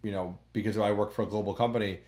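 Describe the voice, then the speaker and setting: A man's voice: on the low side, steady tone, fast, a little mellow. A man hosting a podcast interview, asking questions in a rambling way with many restarts and fillers. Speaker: podcast host. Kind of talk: conversational interview.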